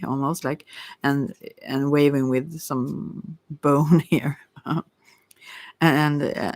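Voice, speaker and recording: Halting woman casually reading tarot, dry recording. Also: low-pitched, dry recording. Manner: halting, thinking aloud. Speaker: tarot reader.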